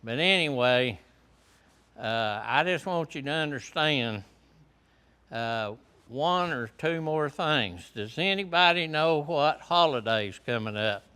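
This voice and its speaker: A man, a veteran telling his story to a high school class in a plain, folksy, unhurried way. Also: lively intonation, pushed and bright, smooth. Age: 70s. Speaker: veteran guest speaker. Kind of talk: classroom talk to students.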